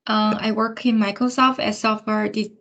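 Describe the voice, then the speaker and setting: A woman's voice: medium pitch, little pitch movement, moderate pace, fairly bright tone, lo-fi sound. A woman in her fifties from China, a native Chinese speaker, telling her career story as an interview guest in plain, easygoing English. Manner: plain storytelling with light laughs. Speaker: software engineering manager. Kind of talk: interview answers.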